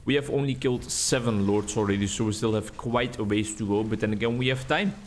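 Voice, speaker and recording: A man's voice: lowish voice, fairly quick, slight background noise. A Dutch man, a native Dutch speaker, giving rambling, chatty gameplay commentary in English on a desk mic. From Netherlands. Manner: rambling, chatty, self-deprecating. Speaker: gaming YouTuber.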